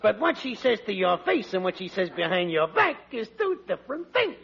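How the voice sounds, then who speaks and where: A scheming, slangy American married man in a vintage radio sitcom. His voice sits extremely high with a gravelly edge and swings around in a cynical, wisecracking way. The old recording is dull, with a studio audience behind him. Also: very animated, brisk, full character voice. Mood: sly. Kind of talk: 1940s radio sitcom acting.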